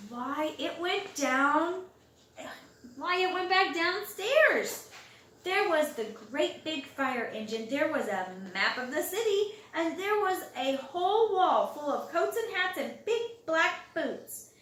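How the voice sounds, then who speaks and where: A woman reads a children's storybook aloud in an expressive way, drawing out words for young listeners. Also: very high voice, a little hiss or hum. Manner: expressive, drawn-out words and loud acted exclamations for young listeners. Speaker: storybook reader. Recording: roomy home recording, distant mic.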